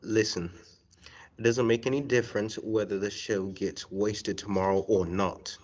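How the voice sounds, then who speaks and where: A low male voice, performed by a young man, gives orders in a stern, sarcastic way. He is a bossy, fed-up radio veteran in a comic radio play, captured on a dull recording. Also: steady tone, moderate pace, darkish tone, close to an everyday voice. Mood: commanding. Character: gruff, bossy, exasperated male radio show boss. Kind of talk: high school stage radio-play acting.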